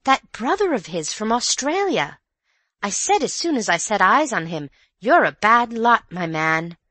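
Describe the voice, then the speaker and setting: A woman narrator acts a young working-class parlourmaid from a storybook. She is gossipy and opinionated, her voice raised high and leaping about in pitch. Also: big pitch swings, normal speed, a bit bright, no echo. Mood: gossipy, indignant.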